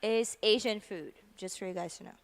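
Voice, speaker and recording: A woman's voice: mid-range voice, normal speed. A woman from Brazil co-hosting a casual live-stream chat, playful and reading chat comments aloud. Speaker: co-host.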